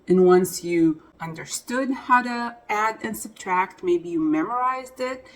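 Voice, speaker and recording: A woman who is a native Hebrew speaker, explaining things as a language teacher in a deliberate, emphatic way. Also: lowish voice, low-quality recording. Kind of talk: explanatory talk to camera.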